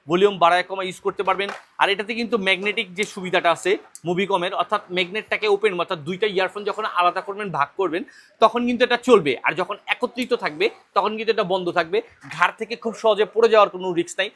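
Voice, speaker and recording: Bangladeshi guy doing an online shop product demo, pretty high voice, keeps rephrasing stuff. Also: a bit bright. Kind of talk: informal sales pitch, product demonstration.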